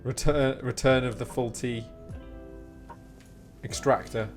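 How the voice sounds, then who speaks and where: A man's voice: medium pitch, steady tone, takes it slowly. Chatty man streaming games over faint music. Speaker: live streamer. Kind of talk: casual gaming chat.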